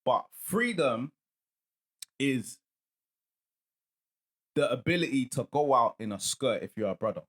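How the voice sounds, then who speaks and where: Earnest man with a bright voice. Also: mid-range voice, lively intonation, unhurried, pauses often. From England. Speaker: guest. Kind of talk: casual chat.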